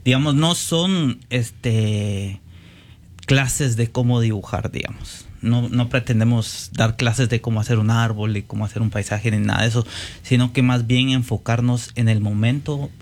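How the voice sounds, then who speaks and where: A man's voice: medium pitch, a little slow, a little mellow. A man with a Guatemalan accent, speaking conversationally as a guest painter introducing himself on a panel.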